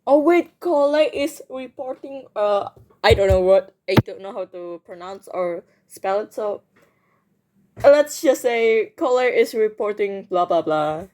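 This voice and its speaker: A woman giving casual gameplay commentary, excitable and thinking aloud, often repeating herself. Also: mid-range voice, measured pace. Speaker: game streamer. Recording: game music behind the voice.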